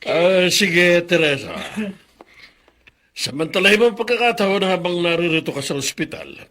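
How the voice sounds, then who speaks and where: A man's voice: high voice, expressive, normal speed, a bit bright. An actor playing a proud, resentful older grandfather who dotes on his grandchild in a Filipino drama. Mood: stern, possessive. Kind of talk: Filipino radio-style drama acting.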